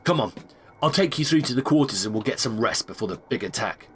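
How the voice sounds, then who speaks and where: A man's voice: mid-range voice, expressive, fast talker, a little hiss or hum, close to an everyday voice. A man playing an enthusiastic, chatty space agent in a comic sci-fi audio drama. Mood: friendly, upbeat. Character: eager, chummy male space agent, family man.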